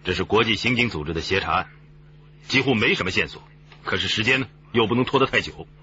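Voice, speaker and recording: An actor playing a seasoned police chief who explains things in a measured, authoritative way in a radio drama. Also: male voice, lowish voice, sharp tone. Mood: measured, serious. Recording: slightly dull, noisy recording.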